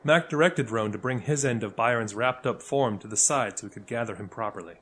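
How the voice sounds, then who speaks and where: A man's voice: medium pitch, fairly quick, a bit bright, slightly rough. A man narrating a scripted audio fiction story. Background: faint music.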